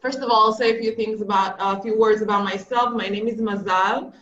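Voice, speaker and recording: A woman in her thirties from Israel, speaking as an activist on a panel, measured and hesitant with frequent pauses to search for words. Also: mid-range voice, fairly even intonation, fairly bright tone, echoey room, dull audio, faint music behind. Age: 30s. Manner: measured, hesitant with many ums. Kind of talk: panel discussion remarks.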